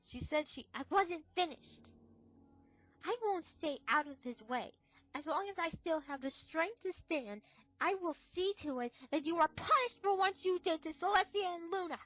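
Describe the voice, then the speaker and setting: A woman's voice: very high-pitched, animated, moderate pace, a bit bright, no room sound, rough recording. A woman voicing a brave, defiant young pony princess in a cartoon fandub, firm and earnest.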